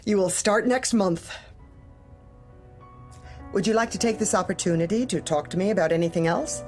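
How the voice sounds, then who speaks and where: An actress playing a cold, controlling female corporate manager, speaking in a measured, formal, probing way in an audio drama. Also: mid-range voice, darkish tone, soft background music, close to an everyday voice. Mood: coolly formal.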